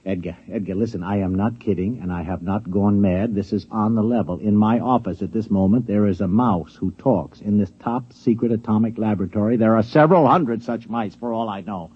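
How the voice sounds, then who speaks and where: Flustered low-voiced American security chief. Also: male voice, a little fast, hardly any pauses, breathy edge. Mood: urgent, pleading. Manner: stammering, insistent, coaxing. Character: flustered, pleading security chief of an atomic laboratory. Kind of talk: 1950s radio drama acting. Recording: muffled old radio recording.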